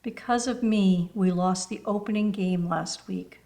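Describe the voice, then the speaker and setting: A woman's voice: mid-range voice, unhurried, creaky, dark tone. A woman voicing a shy, polite, self-doubting young girl in a children's storybook, hesitant and deferential. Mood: guilty, downcast. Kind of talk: children's storybook read-aloud.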